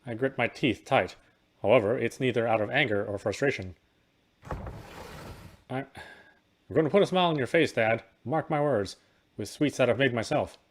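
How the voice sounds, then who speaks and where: A man's voice: mid-range voice, moderate pace, pauses often. A man reading a visual novel's narration and dialogue aloud over game music. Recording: music playing behind the voice.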